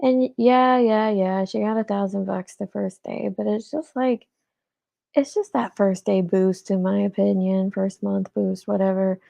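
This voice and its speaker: A woman in her forties, congested and a little rough-voiced, chatting casually with her live chat and laughing often. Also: mid-range voice, fairly even intonation, measured pace, dark tone, no room sound. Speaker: livestream host.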